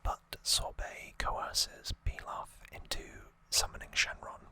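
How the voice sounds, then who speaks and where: Slow, breathy whispering ASMR host. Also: pushed and bright. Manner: hesitant, unscripted retelling. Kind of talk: whispered ASMR trivia recap.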